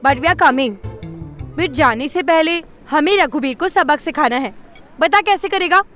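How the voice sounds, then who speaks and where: A woman playing a bossy, sharp-tongued young city woman in an audio drama, assertive and switching between Hindi and English. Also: high voice, measured pace, soft background music. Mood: irritated, demanding.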